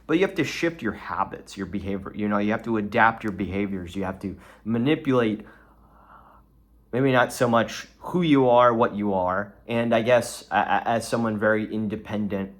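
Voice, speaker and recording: Rambling young man vlogging, low voice. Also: moderate pace. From Texas. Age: young adult. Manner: rambling, many restarts and fillers. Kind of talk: rambling solo vlog talk.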